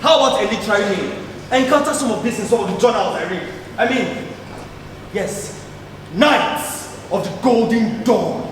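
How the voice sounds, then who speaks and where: A man playing a sceptical, bookish young debater in a stage play, pondering and halting. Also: sits high, lightly acted. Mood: earnest. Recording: echoey noisy hall from far off.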